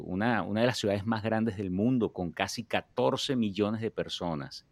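A male interview host with a low voice, talking in a relaxed, halting way full of fillers and restarts. The sound is dry and close, with little room around it. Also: no room sound. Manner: hesitant, many fillers and restarts. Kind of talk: casual interview.

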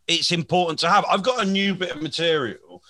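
A man in his forties hosts a casual podcast, rambling and poking fun at himself, with frequent restarts. His voice is bright and forceful and sits a little high. It is recorded close and dry. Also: fairly quick, no echo. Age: 40s. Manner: rambling, self-deprecating, many restarts. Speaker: podcast host and comedian.

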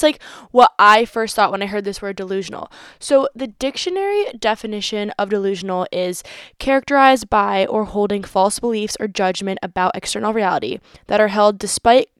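A woman's voice: mid-range voice, smooth, no echo, studio quality. A young woman hosting her own podcast in casual chat, playful and chatty, swearing freely. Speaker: podcast host.

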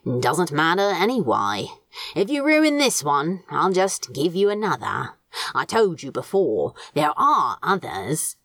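A scornful demon man from a storybook, acted out by a woman, sneering and growling his threats. The delivery is unhurried and swings widely in pitch, with a grumbling, exasperated edge. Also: sing-song, a bit bright, dry recording, heavily acted. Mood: exasperated, gruff. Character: scornful male demon scolding houseplants, grumbling and menacing.

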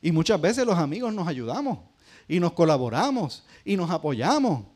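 A middle-aged Puerto Rican pastor preaches in a high, light voice. He keeps it conversational and keeps putting questions to his congregation. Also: sing-song, normal speed, a little mellow. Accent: Puerto Rican Spanish. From Puerto Rico. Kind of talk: sermon.

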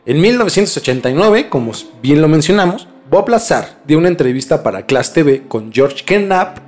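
A man's voice: a little high, big pitch swings, normal speed, a little mellow. Emphatic man hosting over background music. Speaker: podcast host. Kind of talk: conversational storytelling to a co-host. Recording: music bed under the voice.

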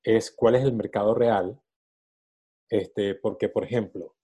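Un hombre de unos sesenta años, productor de cine invitado a un podcast, que piensa en voz alta con muchas muletillas. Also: male voice, on the low side, steady tone, takes it slowly, mellow, slightly rough. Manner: thinking aloud, many fillers. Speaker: film producer guest. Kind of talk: casual podcast interview.

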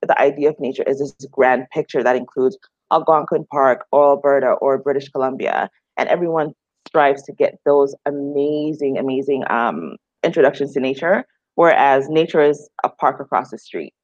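A woman's voice: rumbling low, normal speed, a little mellow, dry recording. A woman from Jamaica, chatting relaxedly in an interview with frequent fillers. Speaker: guest, outdoor group founder. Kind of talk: casual conversational interview answers. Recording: dry, slightly dull remote audio.

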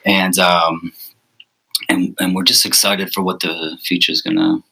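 Earnest man with a very low voice. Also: flat delivery, creaky, bright, forceful tone, breathy edge, low-quality recording. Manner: earnest, speaks up deliberately. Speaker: guest, ministry co-founder. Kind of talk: casual podcast conversation.

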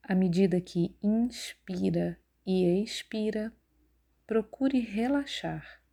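A woman leading a guided yoga nidra relaxation in a calm, measured, instructive way. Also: medium pitch, vocal fry. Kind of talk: guided relaxation meditation narration.

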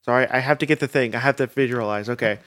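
A man's voice: mid-range voice, fast talker, a bit bright, dry recording, clean studio sound. A man chatting casually as co-host, rambling and hesitant, full of fillers. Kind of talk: casual chat.

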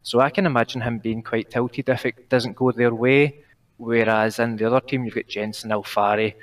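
A nervous man with a Glaswegian accent, calling in to give an esports prediction, talking fast while trying to slow down and pronounce clearly. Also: medium pitch, little pitch movement, distant mic. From Glasgow, Scotland. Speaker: caller.

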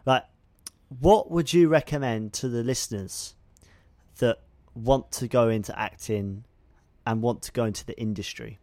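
A man's voice: medium pitch, a little slow, a little mellow, no room sound. A man hosting a casual podcast chat, eager and affirming, leaning on "you know" and "like".

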